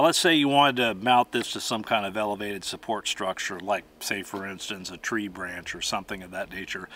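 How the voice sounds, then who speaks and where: Casting: middle-aged male demonstrator, lower-pitched, plainspoken and methodical, recorded outdoors with background noise. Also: pushed and bright. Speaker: host and demonstrator. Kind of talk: instructional how-to narration.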